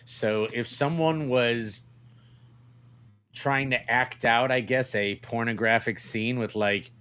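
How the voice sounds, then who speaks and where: A man's voice: medium pitch, steady tone, slow pace, fairly bright tone, clear, resonant tone. A man co-hosting a podcast in casual, bantering chat, crude and joking, often questioning his partner. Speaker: podcast co-host.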